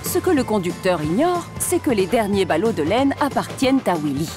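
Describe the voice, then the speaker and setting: A woman's voice: medium pitch, very animated, fairly quick. A woman reading scripted storybook narration for a children's cartoon. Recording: music behind the voice. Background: music.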